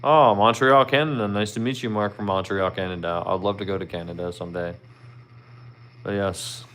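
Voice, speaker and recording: Chatty man from Philly, smooth low voice. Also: fairly even intonation, normal speed, fairly bright tone. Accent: Philadelphia. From Philadelphia. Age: 30s. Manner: rambling, friendly, peppered with "you know". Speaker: livestream host. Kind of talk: casual livestream chat with viewers.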